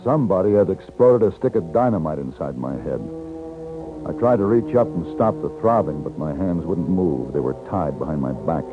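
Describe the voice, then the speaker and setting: An American actor playing a calm, plain-spoken captured gunfighter in a 1950s radio western, narrating and talking in a measured way. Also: male voice, low-pitched. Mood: tense, narrating. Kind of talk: 1950s radio western acting, first-person narration and dialogue. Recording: muffled 1950s radio recording, music behind.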